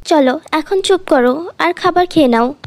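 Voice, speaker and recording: A caring, hardworking orphan girl looking after her sister , acted by a woman. Her voice is high and earnest, soft-hearted and pleading, in a dry cartoon recording. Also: normal speed, no room sound. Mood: soothing. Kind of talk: cartoon fairy tale dialogue.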